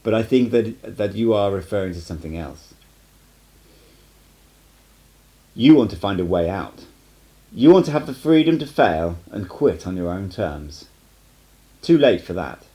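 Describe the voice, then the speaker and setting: A man voicing a stern, cryptic old sorcerer teacher in an audiobook, lecturing in a measured, emphatic way. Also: fairly low pitch, lively intonation, warm tone, low-quality recording. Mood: firm, ominous. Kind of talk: amateur audiobook character reading.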